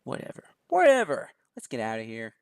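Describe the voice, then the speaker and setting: A man's voice: mid-range voice, huge pitch swings, fairly quick, creaky, clear, resonant tone. A man voicing a cruel teenage boy who bullies others, in a sneering, taunting manner. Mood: sulky. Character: cruel teenage male village bully.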